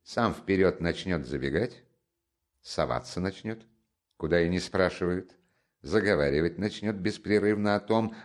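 A man's voice: lowish voice, a little mellow. A man voicing a fussy, sly middle-aged investigator who talks in a wheedling, fawning way. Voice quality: wheedling, fawning, with deferential "-s" endings. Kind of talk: audiobook character voice.

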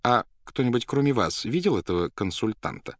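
A man voicing a polite, inquisitive psychiatric doctor in an audiobook, courteous and measured as he questions. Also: medium pitch, lively intonation, no room sound, clean studio sound, pitched above the performer's own voice. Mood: attentive.

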